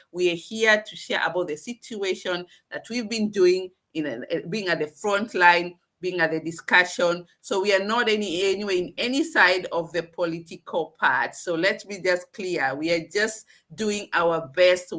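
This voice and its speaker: Lady hosting a live stream chat, bright forceful voice a bit on the low side, earnest. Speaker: host.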